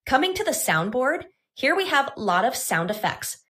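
A woman presenting software in a scripted tutorial narration. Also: high-pitched, big pitch swings, normal speed, heavy background noise.

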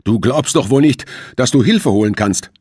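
A man's voice: medium pitch, lively intonation, normal speed, a little mellow, husky, no echo, clean studio sound, voice pushed up. A man voicing a greedy, threatening male criminal in an audiobook, agitated and impatient. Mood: grudging.

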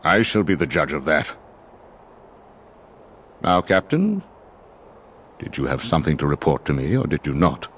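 An actor playing a stern, cold older judge in a video game, speaking with haughty, formal contempt. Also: male voice, very deep voice, very animated, normal speed, pauses often, fairly bright tone, a little hiss or hum, exaggerated voice. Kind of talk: dramatic video game acting.

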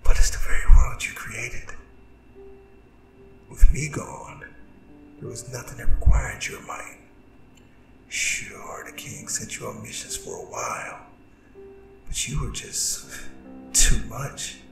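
Man playing a slow, whispering, gloating demon king. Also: medium pitch. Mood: taunting. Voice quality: breathy, largely whispered. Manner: taunting, drawn-out, intimate, theatrical. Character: seductive, gloating, disembodied male Demon King. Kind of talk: ASMR roleplay monologue. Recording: roomy distant echo, music underneath. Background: music.

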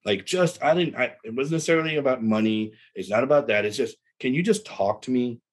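A man's voice: medium pitch, a little fast. A man in his forties, from South Texas, speaking in an easygoing, rambling way on a video-call panel. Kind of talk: casual panel discussion. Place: home room, indoors.

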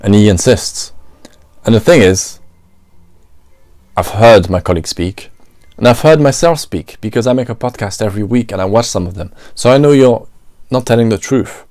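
A man's voice: on the low side, moderate pace, a little mellow, dry recording, clean studio sound. A man from France with a French accent, hosting a solo podcast and thinking aloud, often adding "you know" and "right". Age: adult, works at a restaurant. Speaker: podcast host. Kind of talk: reflective solo monologue.

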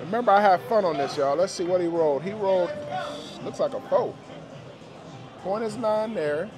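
A man's voice: high voice, moderate pace. A man giving casual, slangy play-by-play to viewers as he gambles, often repeating numbers and phrases. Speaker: gambling vlogger. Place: busy indoor casino floor. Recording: busy casino floor, music, crowd chatter. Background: music and crowd chatter.

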